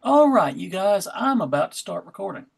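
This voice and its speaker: A man's voice: high-pitched, big pitch swings, moderate pace, gravelly, husky. Casual American male livestream host, jokey and playfully over the top, video-call setting. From Alabama, United States.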